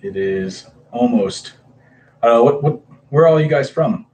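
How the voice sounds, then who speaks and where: A man's voice: medium pitch, expressive, measured pace, a little mellow, a little hiss or hum, low-quality recording. A man in his thirties casually chatting on a livestream, greeting viewers by name with lots of hesitant fillers. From Hawaii. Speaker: comic book livestreamer.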